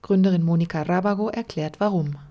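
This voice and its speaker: Low, dark-voiced female narrator. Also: dull audio. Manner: even, measured reading. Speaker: documentary narrator. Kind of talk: scripted narration. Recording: faint music underneath.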